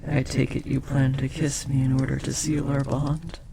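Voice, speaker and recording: A man playing a devoted, lovestruck demon in an intimate audio roleplay, speaking formally, earnestly and with breathy hesitation. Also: medium pitch, flat delivery, moderate pace, background noise, natural voice. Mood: startled, aroused. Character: devoted, lovestruck powerful demon bound to a sorcerer.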